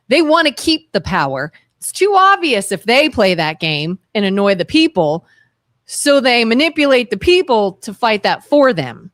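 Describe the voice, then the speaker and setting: A woman's voice: on the high side, fairly bright tone, dry recording. A woman co-hosting a talk show from a studio, speaking emphatically and insistently. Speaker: radio talk show co-host. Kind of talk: casual opinion talk.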